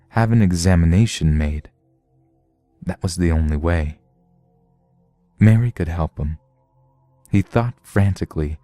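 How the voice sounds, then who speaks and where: A man's voice: deep voice, dark tone, dry recording. A man narrating a science fiction story from a script. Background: music.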